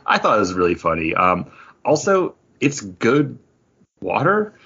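A man with a fairly deep, unclear-edged voice talks casually as a podcast co-host. He rambles along conversationally. The recording is a bit dull and lo-fi. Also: wildly swooping pitch, measured pace, gravelly, sharp tone, slightly rough. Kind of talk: casual chat.

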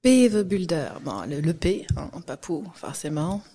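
A woman's voice: low voice, sing-song, unhurried, mellow, a little hiss or hum. A middle-aged woman from Guadeloupe, a music industry guest, talking casually in an interview with many fillers and restarts.

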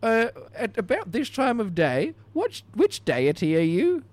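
Playful man, high and lively. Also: unhurried, no echo. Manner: playful, mock-formal questioning. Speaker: podcast co-host. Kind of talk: comedic podcast banter.

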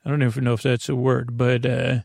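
A man talks in a creaky, fry-laden voice with very little room sound. He hosts a sleep podcast in a lulling, soothing way. His bedtime monologue meanders, with hesitations and self-interruptions as he questions himself. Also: mid-range voice, little pitch movement, brisk, darkish tone, no room sound, clean studio sound.

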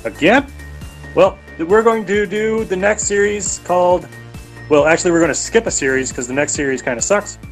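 A man's voice: high voice, normal speed. A man giving a casual, informal introduction as a podcast host. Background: music.

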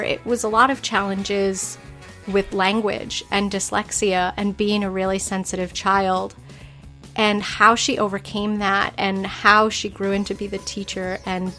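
A woman's voice: mid-range voice, bright, forceful tone. A woman hosting a podcast, introducing her guest and chatting with her in an enthusiastic, eager way. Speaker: podcast host. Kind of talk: casual interview chat.

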